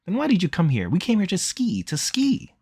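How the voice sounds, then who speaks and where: A man's voice: high voice, wildly swooping pitch, brisk, a little mellow, slightly rough, dry recording, clean studio sound, voice pushed up, full character voice. A man voicing an irritated, indignant young woman from a visual novel. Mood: indignant, pleading.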